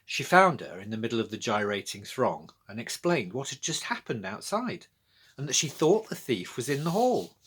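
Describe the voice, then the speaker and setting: A man's voice: medium pitch, sing-song, normal speed, a bit bright, slightly rough. A British man narrating a scripted children's storybook as its author, reading aloud.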